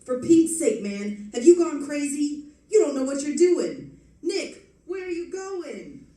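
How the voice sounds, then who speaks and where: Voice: high-pitched, big pitch swings, a little slow, dark tone. A performer playing slangy characters in a hardboiled old-time radio crime drama, with emphatic, theatrical delivery. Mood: urgent, alarmed. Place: live stage performance, mic at some distance. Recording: roomy stage, distant from mic.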